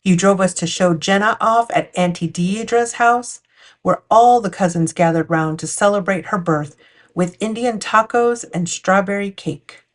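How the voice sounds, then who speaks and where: A woman's voice: medium pitch, unhurried. A woman narrating a picture book for children as a storytime host. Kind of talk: storytime read-aloud narration.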